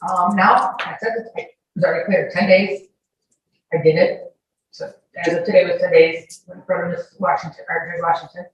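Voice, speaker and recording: A woman's voice: lowish voice, little pitch movement, normal speed, highs cut off. A woman giving informal, wordy, insistent answers as a witness under questioning in court. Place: echoey courtroom, far from the mic. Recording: echoey, distant courtroom audio with faint noise.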